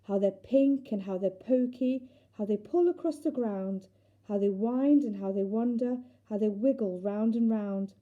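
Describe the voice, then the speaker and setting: A woman's voice: mid-range voice, unhurried, phone-quality sound, lightly acted. A woman voicing a whimsical, enthusiastic grown woman in a children's storybook, with an exclamatory, sing-song manner. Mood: wonder, delight. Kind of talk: children's storybook character reading.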